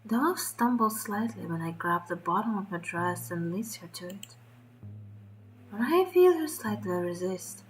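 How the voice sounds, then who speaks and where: A woman reading a story aloud in a dramatic, expressive and deliberate first-person narration. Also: mid-range voice, poor audio. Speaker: streamer reading a visual novel aloud.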